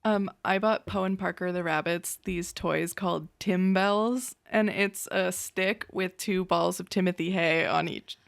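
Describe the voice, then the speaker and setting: A woman's voice: on the low side, fairly even intonation, unhurried, creaky, few pauses, dry recording. A woman co-hosting a casual TV recap podcast, with a dry, joking way of talking. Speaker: podcast co-host.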